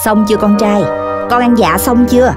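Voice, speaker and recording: A woman's voice: mid-range voice, fairly even intonation, moderate pace, close to an everyday voice. A woman voicing a strict mother dog in a cartoon, stern and scolding with her son. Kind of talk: cartoon voice acting. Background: background music.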